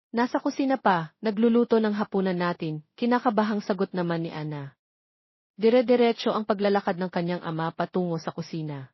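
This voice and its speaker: A woman's voice: medium pitch, no room sound, muffled recording. A woman with a Filipino accent reading a scripted romance audiobook, voicing the dialogue as she goes. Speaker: audiobook narrator. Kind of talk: scripted romance story narration with voiced dialogue.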